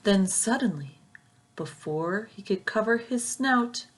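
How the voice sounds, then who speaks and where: A woman reading a children's picture book aloud like a teacher, with expressive, rhythmic phrasing aimed at young listeners. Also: medium pitch, slow pace, slight background noise. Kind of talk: children's storybook read-aloud.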